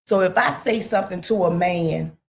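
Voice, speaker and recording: A woman in a casual talk-radio discussion, rambling and emphatic, full of "you know" and sudden jumps in pitch. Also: normal speed, gravelly.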